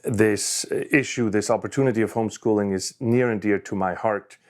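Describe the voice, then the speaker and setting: Man in his fifties, lowish voice, conversational talk, fillers and restarts. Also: moderate pace, darkish tone. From Switzerland. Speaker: conference speaker. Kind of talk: personal story talk.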